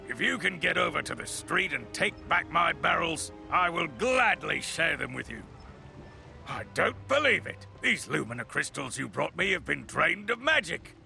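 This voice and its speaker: A folksy fantasy-game miller with a very creaky, forceful voice pitched a bit high. He blusters heartily, like a tradesman with a grievance. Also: full character voice. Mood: dismayed. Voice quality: gravelly, sharp. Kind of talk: video game character acting.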